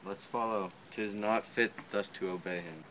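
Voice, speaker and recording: A man's voice: fairly low pitch, steady tone, moderate pace, a bit bright, lightly acted, low-quality recording. A man playing a wary soldier guarding a prince in an amateur Shakespeare drama. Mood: wary, firm. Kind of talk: amateur stage reading of Shakespeare. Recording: some background noise.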